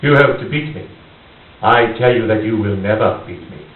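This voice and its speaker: A man acting a menacing, courteous criminal mastermind in radio theater, speaking in a formal, deliberate, coldly polite way. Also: on the low side, natural voice. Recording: tinny, hissy, roomy, distant microphone.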